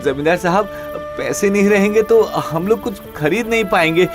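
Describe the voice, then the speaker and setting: A poor, anxious cartoon potter played by a man, meek and deferential. His voice sits a little high for a man and has a pleading manner, over clearly audible music. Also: normal speed, natural voice. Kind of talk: Hindi animated fairy tale dubbing.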